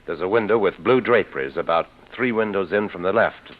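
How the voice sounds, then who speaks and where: An actor playing an earnest, insistent man with a measured, polite, slightly hesitant manner in a vintage radio drama. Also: sits low. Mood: earnest, uneasy. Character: earnest, insistent stage actor worried by what he saw. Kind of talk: 1940s-50s radio drama acting. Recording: muffled vintage radio recording.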